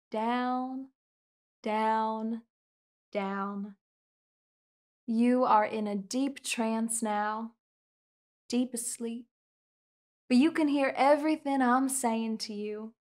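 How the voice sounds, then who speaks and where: A young woman in a studio, speaking soft, slow, soothing hypnosis roleplay with repetitive counting and commands. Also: on the high side. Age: young adult. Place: indoor studio set with curtain backdrop.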